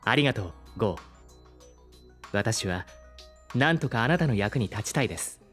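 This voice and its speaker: A man playing a polite, caring artificial intelligence with formal, measured speech in a Japanese audio drama. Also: on the low side, expressive, fairly bright tone, soft background music. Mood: earnest, grateful.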